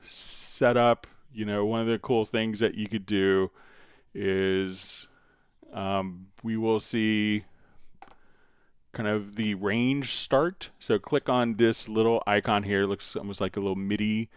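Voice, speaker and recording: A man hosting a live stream, casually explaining software step by step while he demonstrates it. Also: lowish voice, steady tone, slow pace, clean tone, dry recording, studio quality.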